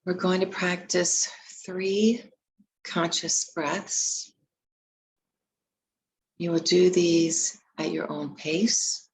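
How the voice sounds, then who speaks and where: A woman leading a guided meditation, giving gentle, unhurried instructions with long pauses between phrases. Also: lowish voice, fairly even intonation, vocal fry, a little hiss or hum, poor audio.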